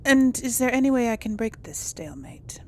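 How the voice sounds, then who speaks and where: A woman's voice: a little high, expressive, fairly quick, a little mellow, a little hiss or hum. A woman doing casual, musing game commentary, thinking aloud as she plays and role-plays. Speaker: gamer host of a role-play let's play.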